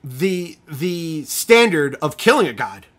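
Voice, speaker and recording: A man's voice: fairly high pitch, very animated, slow pace, fairly bright tone. A middle-aged man co-hosting a podcast over a video call, joking around with heavy swearing. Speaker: podcast co-host. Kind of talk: casual podcast chat.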